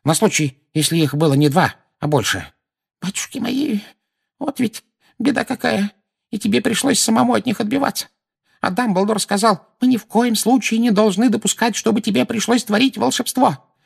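A man's voice: high-pitched, moderate pace, gravelly, slightly rough, pitched above the performer's own voice, heavily acted. A man voicing a frantic, scolding old woman in an audiobook, fretful and fierce in her exclamations. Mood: worried. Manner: lamenting, exclamatory, nagging.

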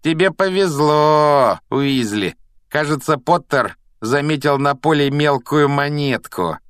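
A man performs a spoiled schoolboy bully from a storybook. The voice sits high and swings mockingly, drawling out each taunt with sneering contempt. The recording is close and dry. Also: lively intonation, takes it slowly, a bit bright, clean tone, no room sound.

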